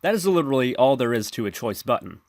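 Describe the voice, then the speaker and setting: A man narrating a software tutorial in an instructional, step-by-step manner. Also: medium pitch, very animated, fast, no room sound, clean studio sound. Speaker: tutorial presenter.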